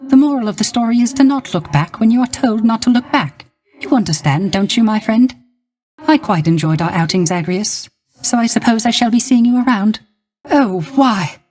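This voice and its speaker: A young, melancholy court musician of the underworld for a video game. His voice is strikingly high and airy for a man. He speaks softly and hesitantly, with wistful, theatrical courtesy. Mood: flattered, shy. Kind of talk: video game character voice acting.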